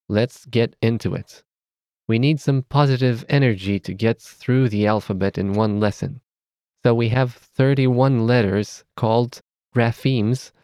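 Romanian-accented male tutor, unhurried careful diction, dry studio sound. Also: medium pitch, warm tone, no echo. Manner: measured, careful enunciation of letters. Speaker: language teacher. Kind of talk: scripted language lesson.

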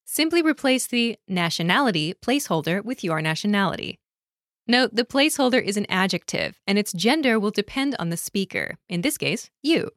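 Clear woman narrating a language lesson. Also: medium pitch, animated, fairly bright tone, dry recording, studio quality. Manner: clear, measured instructional delivery. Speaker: course narrator.

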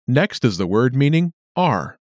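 A man hosting a scripted language lesson with clear, upbeat announcer delivery. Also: medium pitch, sing-song, unhurried, a little mellow, dry recording, studio quality. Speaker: lesson host.

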